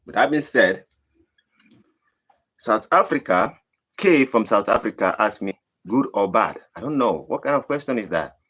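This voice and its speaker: A man from Nigeria with a Nigerian accent, hosting a live stream and answering viewer questions in a deliberate, emphatic way. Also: lively intonation, fairly bright tone, husky. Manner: deliberate, emphatic, rising to high emphatic bursts. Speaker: channel host.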